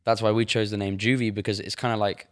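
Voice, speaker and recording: A teenage boy with an English accent chatting as a podcast co-host. His voice sits a little low, and he explains things in an easy, relaxed way. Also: fast. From Sheffield, England. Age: teens. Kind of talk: casual chat.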